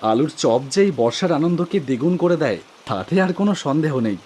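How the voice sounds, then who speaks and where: A man's voice: a little high, expressive, brisk, darkish tone, a little hiss or hum, natural voice. A man playing a humble, devoted young auto-rickshaw driver who tells his own story earnestly in a cartoon. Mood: reflective. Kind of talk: first-person cartoon horror story narration with dialogue.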